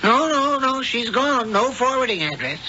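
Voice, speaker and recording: A man's voice: very high-pitched, very animated, normal speed, sharp tone, slight background noise, full character voice. An actor playing a genteel, sinister old male witch in a 1970s radio drama, mild and fussily polite. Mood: feigned politeness. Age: older. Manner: mild, fussy, politely evasive. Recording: muffled old radio broadcast, music behind.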